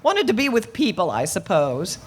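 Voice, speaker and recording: A woman playing a flustered, soft-hearted but stubborn older landlady in a radio play, rambling, hesitant and defensive. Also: mid-range voice, very animated, moderate pace. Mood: sympathetic. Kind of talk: live old-time radio play acting.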